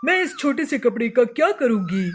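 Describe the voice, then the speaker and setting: A woman's voice: fairly high pitch, big pitch swings, normal speed, a little hiss or hum. A woman voicing a middle-aged, bossy bird mother-in-law in a cartoon, with an emphatic manner. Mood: haggling.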